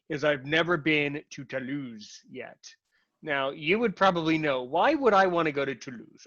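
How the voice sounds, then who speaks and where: A man's voice: fairly high pitch, bright, forceful tone, dry recording. A man hosting a casual conversation, who rambles and restarts his sentences a lot. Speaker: host. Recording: muffled, lo-fi, dry sound.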